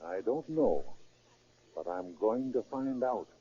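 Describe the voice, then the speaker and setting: An actor playing an earnest, disillusioned preacher turning to crime in a 1930s radio drama, speaking deliberately and precisely. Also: male voice, medium pitch, lively intonation, dark tone, husky. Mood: methodical, determined. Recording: muffled, scratchy 1930s radio recording.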